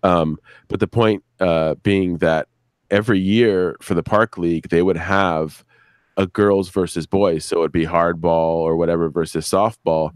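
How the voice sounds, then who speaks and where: A man chatting casually as a guest show creator on a live stream, rambling and halting, repeating words and swearing freely. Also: low-pitched, steady tone, dry recording, studio quality.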